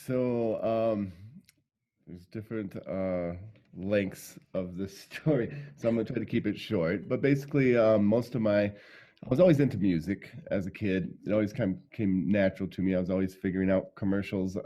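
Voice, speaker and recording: A middle-aged man from Michigan, telling his life story as an interview guest in a relaxed way, with many ums and laughs. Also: lowish voice, moderate pace. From Michigan, USA. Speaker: guest, sound healer.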